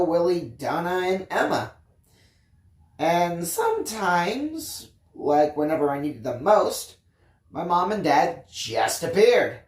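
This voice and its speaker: Low-voiced woman narrating dramatically. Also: roomy sound. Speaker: audiobook reader. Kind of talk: expressive first-person story narration.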